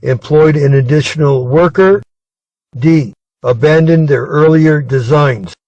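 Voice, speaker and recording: American man reading exam material deliberately. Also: a little high, darkish tone, dry recording, dull audio. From Arizona, United States. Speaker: reader of test material. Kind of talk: scripted reading of exam questions.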